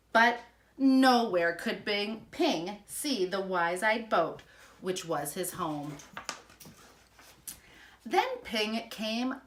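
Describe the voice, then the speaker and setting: A woman reading a children's storybook aloud in an expressive, emphatic storytelling manner. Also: a little high. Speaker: school principal reading aloud.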